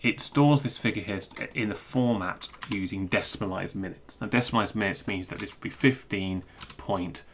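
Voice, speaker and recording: Deep voiced guy explaining techy stuff off the cuff, stops and restarts, some background hiss and typing. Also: fairly bright tone. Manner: thinks aloud, halting and self-interrupting. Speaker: presenter explaining technical material. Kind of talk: informal screencast walkthrough with improvised explanation.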